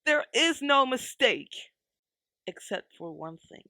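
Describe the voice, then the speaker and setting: Actor as stern accusing patriot, confrontational, sharp bright voice, very dry sound, audio drama. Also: sits high, very animated, slow pace, no room sound, exaggerated voice.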